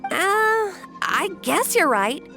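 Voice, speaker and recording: A woman's voice: very high-pitched, sing-song, very slow, creaky, over music, exaggerated voice. A woman voicing a friendly, cautious young female helper in a video game, eager and encouraging. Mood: worried.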